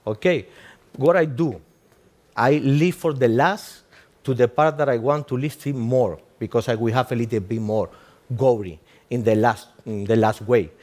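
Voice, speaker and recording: A man with a Cuban Spanish accent, a native Spanish speaker, giving a live demonstration as a doctor in halting English and checking in with "okay?" after almost every step. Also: medium pitch, a little mellow. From Cuba.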